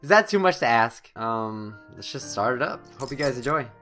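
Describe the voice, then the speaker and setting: A man's voice: mid-range voice, lively intonation, moderate pace. A young man gives casual, off-the-cuff commentary as he hosts a gaming video. He drops in sarcastic jokes, and music plays behind him. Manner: joking, sarcastic asides. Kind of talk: casual let's play commentary.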